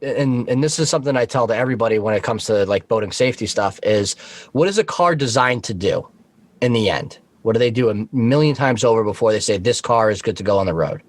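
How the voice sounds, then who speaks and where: A man's voice: fairly low pitch, expressive, quick pace, no room sound. A man talking casually as a talk show guest, explaining things frankly and with some swearing. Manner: frank, profane. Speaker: guest on a talk show, Coast Guard member.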